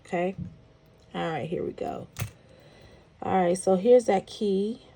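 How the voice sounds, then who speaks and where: A woman's voice: mid-range voice, slow pace, dark tone, a little hiss or hum. A woman with a nasal, congested voice from a head cold, chatting casually as she walks viewers through making a necklace. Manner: chatty, thinking aloud while working. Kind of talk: casual craft tutorial talk.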